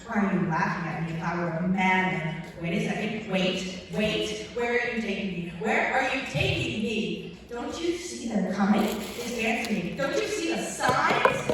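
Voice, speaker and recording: A woman's voice: mid-range voice, moderate pace, hardly any pauses, fairly bright tone, natural voice. Woman acting a desperate, pleading savior in an echoey hall. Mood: urgent pleading. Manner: urgent, pleading, repeats phrases. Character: desperate, frantic would-be savior pleading to be believed. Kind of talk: dramatic monologue read at a workshop. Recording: very echoey hall, distant mic, faint noise.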